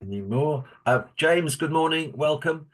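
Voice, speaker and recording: A man's voice: a little high, lively intonation. Man welcoming a speaker in an online meeting. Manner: welcoming, slow and hesitant with ums. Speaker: meeting host. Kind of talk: casual chat in an online meeting. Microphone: video call.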